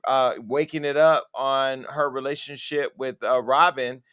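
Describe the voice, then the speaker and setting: A man hosting a talk show, telling gossip stories in a chatty way with quick asides. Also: mid-range voice, fairly even intonation, fairly bright tone, clear, resonant tone. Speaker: host. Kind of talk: casual talk-show chat.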